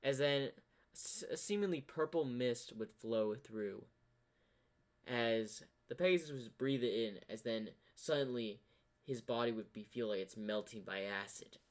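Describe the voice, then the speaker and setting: A man narrating improvised fan fiction, with halting, loosely built phrasing. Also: medium pitch. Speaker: narrator and storyteller.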